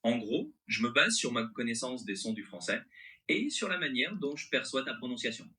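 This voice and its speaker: A man's voice as a conversational AI assistant, very bright and crisp-edged, with lively, wide-moving pitch. The French accent is mixed, with an American-sounding intonation. The manner is agreeable and accommodating. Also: moderate pace.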